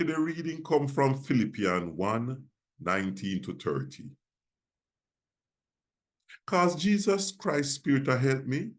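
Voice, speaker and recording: A reverend with a Jamaican accent, a man reading scripture aloud in Patois. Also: medium pitch. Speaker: reverend, guest scripture reader.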